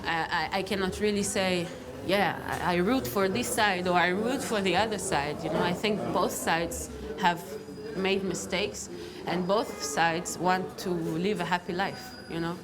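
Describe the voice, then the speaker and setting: A woman from Israel, talking thoughtfully in a documentary interview, often pausing to search for words. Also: lowish voice, fairly even intonation, crowd noise. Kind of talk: reflective personal testimony.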